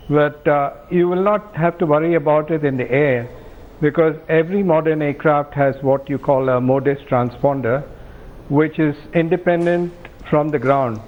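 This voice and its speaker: Male expert guest, Indian accent, slightly high pitch, matter-of-fact, technical explaining. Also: darkish tone, rough recording. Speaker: aviation safety expert guest.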